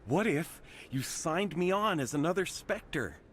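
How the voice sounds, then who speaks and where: An actor playing an eager, starstruck fan of a space hero in a video game, gushing and earnest. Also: male voice, fairly high pitch, expressive, measured pace, fairly bright tone, slight background noise. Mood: pleading.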